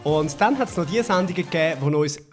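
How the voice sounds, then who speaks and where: A Swiss German man hosts a comedy clip show. He reads his links in a dry, punchy way, and his voice sits fairly high for a man. Music plays clearly under his talk. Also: lively intonation, fast. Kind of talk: scripted comic narration.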